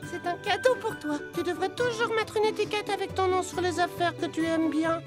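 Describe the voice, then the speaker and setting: Very high-pitched earnest little bus. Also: female voice, fairly quick. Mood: happy, grateful. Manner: earnest, fretful, emotive. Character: anxious, conscientious talking little bus. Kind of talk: children's cartoon dub. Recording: music behind the voice.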